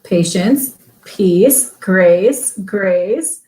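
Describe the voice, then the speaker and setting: Woman, guest, relaxed casual chat, unhurried, roomy echo. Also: medium pitch. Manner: relaxed, laughing, many ums.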